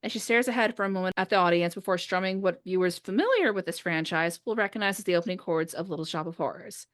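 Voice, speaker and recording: A woman's voice: on the low side, fast talker, fairly bright tone. Female podcast host and script reader, informal, conversational delivery among friends. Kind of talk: casual chat with friends before a script read-through.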